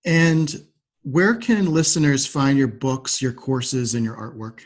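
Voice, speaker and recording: A man's voice: mid-range voice, slow. A man hosting a conversational interview podcast in a supportive, affirming manner. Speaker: podcast host.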